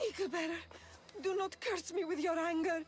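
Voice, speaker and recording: A woman's voice: very high voice, lively intonation, a little slow, music in the background. An actress playing a timid, worried village woman in a video game, effusive and deferential in her manner. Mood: fearful, pleading. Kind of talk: video game cutscene acting. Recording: clear ambient noise behind.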